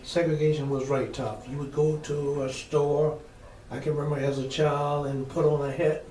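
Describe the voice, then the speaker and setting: A man of seventy answering oral history interview questions in a measured way, with frequent pauses and fillers. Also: a little high, little pitch movement, mellow, distant mic. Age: 70s. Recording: roomy echo, faint noise.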